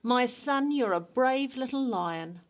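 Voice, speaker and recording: A woman's voice: fairly high pitch, expressive, slow pace, pitched above the performer's own voice. Woman as a firm, motherly storybook lioness. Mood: humble, tender. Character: stern but loving mother lioness.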